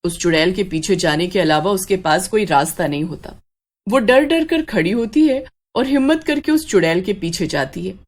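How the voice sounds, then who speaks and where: A woman reading scripted horror narration as a dramatic, measured storyteller. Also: medium pitch, lively intonation.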